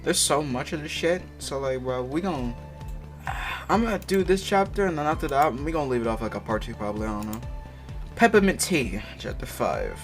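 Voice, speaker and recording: A man's voice: mid-range voice, big pitch swings, brisk. A man casually reading a story aloud, stumbling over the text and breaking off for mocking, sweary asides. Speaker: amateur fan fiction reader. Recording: music playing behind the voice. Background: background music.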